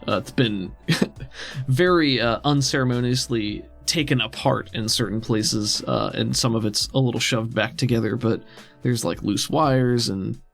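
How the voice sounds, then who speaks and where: Gravelly male game master over music. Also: mid-range voice. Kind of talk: improvised tabletop game narration and casual table talk. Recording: music behind. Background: background music.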